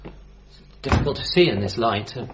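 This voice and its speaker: A man's voice: medium pitch, animated, moderate pace, lo-fi sound, noisy background, close to an everyday voice. An actor playing an anxious, awkward son in a radio comedy drama, stammering and flustered as he talks. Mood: uneasy. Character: anxious, awkward bereaved son, excitable and sarcastic.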